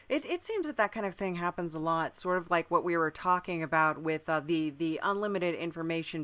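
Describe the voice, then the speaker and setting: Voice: mid-range voice, bright, forceful tone, no room sound. A podcast host in casual interview conversation, speaking thoughtfully with hesitant phrasing.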